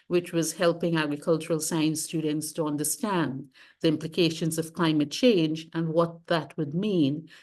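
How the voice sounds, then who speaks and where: A middle-aged woman from Guyana, a sustainability consultant, talking through her career in a measured, careful way and rephrasing as she goes. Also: on the low side, a little mellow. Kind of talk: interview, long career account.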